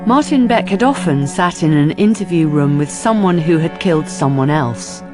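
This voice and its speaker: A woman's voice: low voice, animated, moderate pace. Grave female narrator over music. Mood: grave, measured. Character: grave, measured female narrator of a crime story. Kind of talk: scripted radio drama narration.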